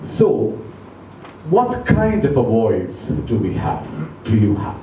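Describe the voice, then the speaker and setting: A man giving an educational talk to a club audience, speaking slowly and deliberately, asking them questions, English not his first language. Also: medium pitch, big pitch swings, warm tone, distant mic, slight background noise. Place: club meeting room. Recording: echoey room recorded from afar.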